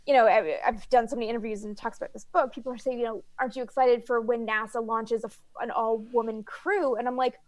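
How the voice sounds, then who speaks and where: A woman with a Canadian accent speaking as a guest author in a casual interview, animated and emphatic. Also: a little high, fast talker, few pauses, no room sound.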